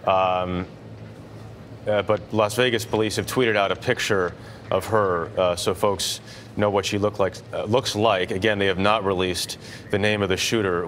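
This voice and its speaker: Low-voiced man presenting breaking news. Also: fairly bright tone, a little hiss or hum. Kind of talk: semi-scripted breaking news update.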